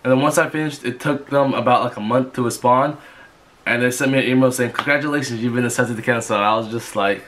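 A man's voice: mid-range voice, fairly even intonation, fast, noisy background. A young man from Georgia talks to a camera in his bedroom, his voice stuffy from a cold. He rambles casually in a mid-range voice, restarting sentences often. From Georgia, USA. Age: young adult. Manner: rambling, with many restarts and fillers. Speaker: vlogger. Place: bedroom, indoors. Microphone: camera in front of him.

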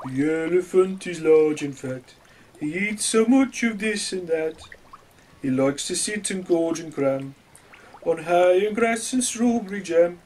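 A man voicing a large, fat, jolly elephant in a children's storybook, slow and emphatic. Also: high voice, expressive, darkish tone, slight background noise, voice pushed up. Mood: jolly singing.

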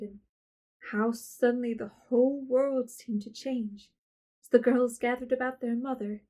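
Narrator, woman, fairly high voice, expressive storybook reading.

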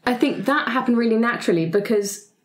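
A woman's voice: on the high side, lively intonation, a little fast. A woman guest reminiscing in a casual, giggly, self-deprecating chat. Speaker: podcast guest, roleplay performer. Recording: roomy, echoey sound.

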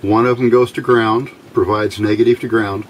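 A middle-aged man giving an informal hands-on tutorial in halting short phrases, pausing often as he explains. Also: on the low side, poor audio. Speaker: electronics hobbyist demonstrating a homemade circuit. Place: indoors at a workbench. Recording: steady clear background noise.

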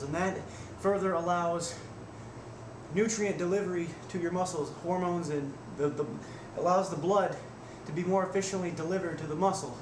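A young man in his twenties talking casually and bluntly through a home workout vlog, swearing now and then. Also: a little high. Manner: blunt, swears, strains and grunts through reps. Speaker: fitness vlogger. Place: small room at home. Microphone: webcam at desk distance. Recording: echoey room, noisy webcam audio.

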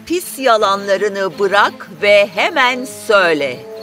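A woman playing a cruel, scolding stepmother with a fierce temper in an animated fairy tale. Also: medium pitch, slow pace, faint music behind, exaggerated voice. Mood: angry, accusing. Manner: scolding, accusing.

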